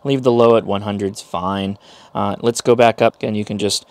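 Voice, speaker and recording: A man's voice: on the low side, steady tone, moderate pace, a little mellow, dry recording. A man in his thirties giving a casual hands-on review as he demonstrates a device. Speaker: host, product reviewer. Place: small indoor workshop room.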